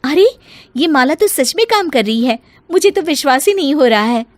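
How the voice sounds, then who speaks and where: Young wife for a storybook tale, voiced by a woman: high, expressive, sweet on top, mischievous underneath. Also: moderate pace, dry recording. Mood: delighted. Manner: expressive, musing aloud. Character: sly young daughter-in-law, sweet-spoken, mischievous.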